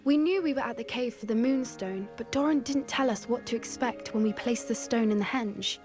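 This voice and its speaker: Woman voicing teenage witch girl, British accent, higher voice, earnest animal lover, articulate, video game cutscene, faint music. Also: fairly quick, lightly acted. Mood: tense anticipation. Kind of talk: scripted video game dialogue acting.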